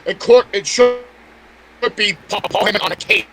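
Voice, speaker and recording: A man's voice: high voice, fairly even intonation, moderate pace, gravelly, over a noisy crowd. A man in a casual panel chat, insistent and repeating himself to make his point. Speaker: podcast panelist.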